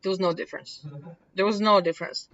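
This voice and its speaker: A woman from Poland with a mild Polish accent, casually teaching a workshop and thinking aloud as she demonstrates software. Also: medium pitch, steady tone, quick pace. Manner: chatty, self-correcting, thinking aloud. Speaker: workshop presenter. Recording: distant, dull room recording.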